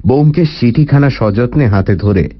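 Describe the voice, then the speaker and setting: A man's voice: medium pitch, expressive, normal speed, close to an everyday voice. A man narrating a scripted story dramatically, with suspenseful, literary phrasing. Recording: dull audio under clear music.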